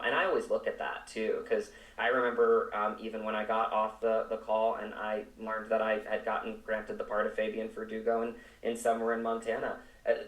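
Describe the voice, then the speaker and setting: A man's voice: fairly low pitch, far from the mic. Male interview guest, reflective and sincere, chatting from a little way off the mic in an echoey room. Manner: earnest, reflective. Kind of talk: conversational interview.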